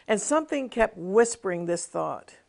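A woman's voice: mid-range voice, animated, moderate pace, gravelly, a little mellow. A middle-aged woman television minister giving a devotional teaching with a personal testimony, in an earnest, emphatic preaching cadence.

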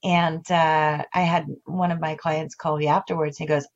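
A woman who coaches on video marketing, talking in a casual interview with animated delivery and lots of restarts. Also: sits low, normal speed. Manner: animated, many restarts and fillers. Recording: dull lo-fi audio.

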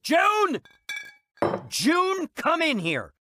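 An actor playing an exasperated, insistent husband in a radio drama. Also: male voice, medium pitch, huge pitch swings, a little slow, over music. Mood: angry. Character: exasperated husband defending his mother.

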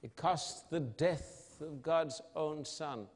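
Slow, solemn male preacher in echoing hall. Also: fairly high pitch, slightly rough. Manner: solemn, emphatic, with dramatic pauses and rhetorical questions. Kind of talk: evangelistic sermon. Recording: long hall reverb.

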